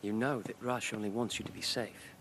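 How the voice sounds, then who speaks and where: An actor playing a courteous young nobleman in a fantasy video game, formal and measured in his delivery. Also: lowish voice, steady tone, a bit bright, slight background noise, natural voice. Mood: composed. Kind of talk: video game cutscene acting.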